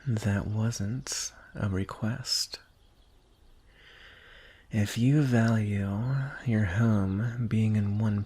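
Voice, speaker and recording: A man's voice: fairly low pitch, breathy edge, full character voice. A man voicing a teasing, coaxing dragon with a smug streak of menace, in a fantasy ASMR roleplay. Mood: softly menacing. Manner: slow, coaxing, softly threatening.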